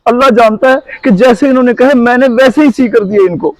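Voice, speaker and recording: Man acting a high-voiced, plaintive poor tailor. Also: fairly quick. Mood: hurt, defensive. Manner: plaintive, pleading. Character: poor honest tailor, a father driven to begging, wounded. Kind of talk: amateur moral short-film acting.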